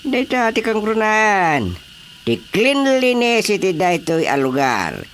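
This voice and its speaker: A man's voice: high-pitched, wildly swooping pitch, a bit bright, a little hiss or hum, exaggerated voice. A man with an Ilocano accent acting in a radio drama as a strict village chairman who lays down rules with authority. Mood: stern. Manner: authoritative, deliberate, instructing.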